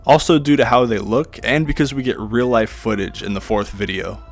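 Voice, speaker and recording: A man's voice: medium pitch, normal speed. A man giving a scripted countdown narration as the host of a horror video. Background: music.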